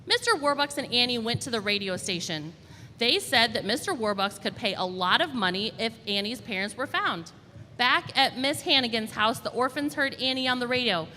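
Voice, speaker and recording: A woman storyteller reading a children's storybook aloud in an expressive, deliberate way. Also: fairly high pitch. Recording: reverberant hall, faint background noise.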